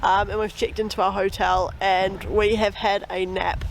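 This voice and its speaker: A woman's voice: medium pitch, little pitch movement, moderate pace, vocal fry. Upbeat young New woman on a noisy street. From New Zealand. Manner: upbeat, chatty, with "like" and "um" fillers. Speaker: travel vlogger. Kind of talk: casual vlog narration. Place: outdoors in a city. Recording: street noise outdoors.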